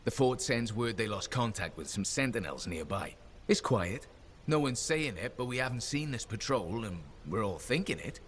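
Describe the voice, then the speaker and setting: A man's voice: medium pitch, expressive, moderate pace, slight background noise, close to an everyday voice. A man voicing a chatty, upbeat mercenary buddy. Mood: friendly. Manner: upbeat, storytelling.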